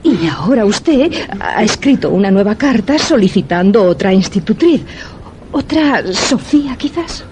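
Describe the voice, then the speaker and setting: An actress playing a frightened but firm young governess in a suspense radio drama, earnest and formally polite. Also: female voice, medium pitch, very animated, measured pace, a little mellow, a little hiss or hum. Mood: probing, tense. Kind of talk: Spanish-language suspense radio drama acting.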